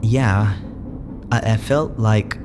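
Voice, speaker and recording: Slow, bewildered young space adventurer. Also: male voice, on the low side, over music. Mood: hesitant, earnest. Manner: halting, incredulous, stammering questions. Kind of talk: full-cast audio drama acting. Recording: clear noise bed behind the voice.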